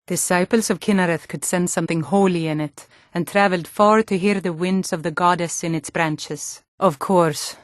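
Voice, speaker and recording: An actress playing a sturdy, earnest Nord woman in a fantasy video game, with clear, deliberate delivery. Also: lowish voice, no room sound, studio quality, close to an everyday voice.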